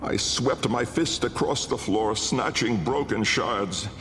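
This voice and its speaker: An actor playing an old, haunted, learned nobleman-scientist in a video game, delivering a grave, measured gothic monologue with a gravelly voice. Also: mid-range voice, fairly bright tone, slight background noise. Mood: haunted, frantic. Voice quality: gravelly, creaky. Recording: long cavernous reverb under music.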